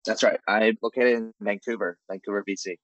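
A man in his late twenties from China, a guest entrepreneur telling his story in relaxed, candid casual chat. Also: on the low side, brisk, dry recording, highs cut off.

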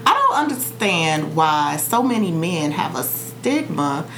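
Guest, female, frank and candid, somewhat low voice, faint hum. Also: sing-song, measured pace, a bit bright, poor audio. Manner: candid, frank, speaks plainly about her feelings. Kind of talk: casual conversational interview.

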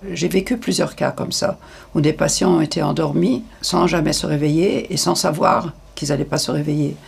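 An older woman giving frank, hesitant interview answers, with many pauses and fillers. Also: low-pitched, vocal fry, breathy edge.

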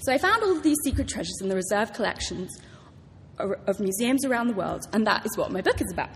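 A woman's voice: medium pitch, sing-song, fast. British woman speaking in an echoing hall. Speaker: author introducing a public reading. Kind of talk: prepared talk to a live audience. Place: large hall at a public library event. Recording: reverberant hall, clear background noise.

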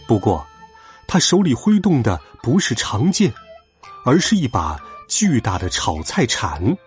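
A man hosting and narrating children's stories in an expressive style. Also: medium pitch, unhurried, soft background music. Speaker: children's storyteller, show host.

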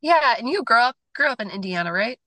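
Bright, nasal-sounding woman co-host. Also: a little high, lively intonation, fairly quick, no room sound. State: sick, nasal. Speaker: podcast co-host. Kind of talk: casual interview hosting.

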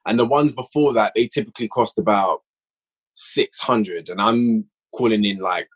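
A man's voice: fairly low pitch, moderate pace, husky, rough recording. A man, a guest writer and producer of audio drama, chatting at length on a podcast and explaining his work with frequent restarts. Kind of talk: casual podcast conversation.

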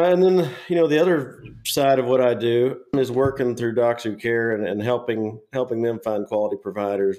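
A man in his forties from Western Oklahoma, a guest on a podcast, sounding raspy from a cold and talking in a relaxed, plainspoken way. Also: on the low side. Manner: relaxed, plainspoken, uses fillers like uh and you know. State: has a cold. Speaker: guest, physician assistant. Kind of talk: casual interview chat.

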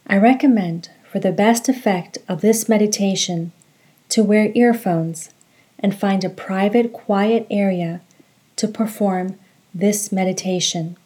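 A woman guides a meditation in a soft-edged, mellow tone. She speaks very slowly and calmly, delivering each instruction in a measured way at a medium pitch. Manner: slow, calm, measured instructions. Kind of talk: guided meditation narration with recitation.

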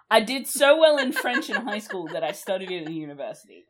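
Young woman rambling and laughing casually. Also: mid-range voice. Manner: rambling, laughs often, swears freely. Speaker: podcast co-host. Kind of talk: casual podcast chat.

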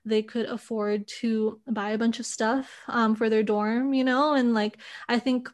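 A woman's voice: on the high side, little pitch movement, unhurried. Young woman from Hawaii, reflective. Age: young adult. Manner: thoughtful, many fillers like "like" and "you know". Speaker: interviewee. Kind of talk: reflective personal storytelling.

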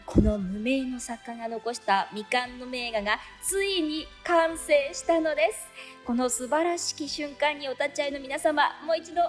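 A woman's voice: sits high, normal speed, soft background music, lightly acted. An actress playing a female announcer at an art unveiling, speaking in a formal, ceremonious way in a radio drama. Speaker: actor.

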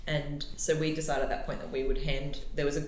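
A woman's voice: low-pitched, flat delivery, brisk. A woman from Newcastle, Australia, with an Australian accent, talking casually as a small business owner being interviewed. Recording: roomy echo, noticeable background noise.

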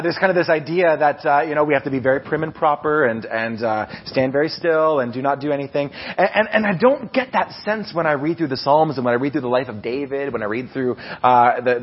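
A man with a loud voice preaching a casual, animated sermon, restarting his sentences often and poking fun at himself. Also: fairly high pitch, breathy edge, lo-fi sound. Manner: animated, self-deprecating, many restarts. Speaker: preacher and music leader. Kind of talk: conversational sermon.

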